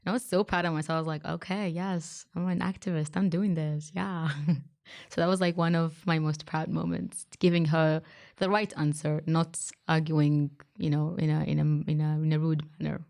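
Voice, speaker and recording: A woman's voice: deep voice, little pitch movement, normal speed, creaky, a little mellow. A young woman from Saudi Arabia, a youth activist answering casually in an interview. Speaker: youth activist, podcast co-host.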